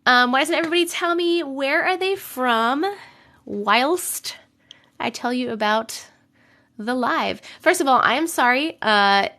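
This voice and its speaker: A young adult woman live streaming, chatting with viewers and reading stories, her voice a little rough from a cold. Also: high voice, animated, measured pace, pushed and bright. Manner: chatty, greets viewers by name between sentences. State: voice a bit rough from getting over a cold. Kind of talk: casual live stream chat and story reading.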